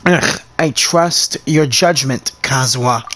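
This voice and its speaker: A woman's voice: a bass voice, slow pace, fairly bright tone, heavily acted. A woman voicing a composed, cool, trusting ally in an anime game.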